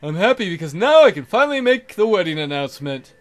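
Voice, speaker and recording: Wolf-man suitor acted by a man: pushy, smug, declamatory, lively high male pitch. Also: quick pace.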